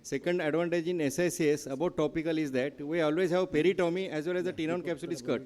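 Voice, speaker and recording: Guy surgeon on a panel, talks quick and earnest, fairly high voice, echoey hall. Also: steady tone, a little mellow. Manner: earnest, explanatory. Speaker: eye surgeon on a conference panel. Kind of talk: panel discussion. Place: conference hall.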